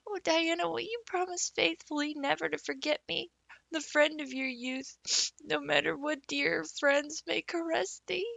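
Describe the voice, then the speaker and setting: A woman's voice: sits high, steady tone, unhurried, vocal fry, fairly bright tone, dry recording, pitched above the performer's own voice, exaggerated voice. A woman voicing a dramatic, romantic young orphan girl who speaks in flowery, earnest phrases. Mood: heartfelt.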